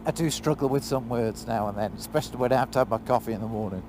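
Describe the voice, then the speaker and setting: A man's voice: mid-range voice, rapid-fire. A British man with a slight lisp, telling self-mocking stories in casual chat. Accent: British English. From Britain. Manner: self-mocking, laughing at himself. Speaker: vlogger. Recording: loud road noise behind.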